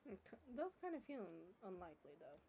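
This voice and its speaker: A woman's voice: medium pitch, sing-song, a little fast, gravelly, dark tone, slight background noise. A woman giving casual, musing commentary as she plays through a game. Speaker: game streamer. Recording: game music behind the voice.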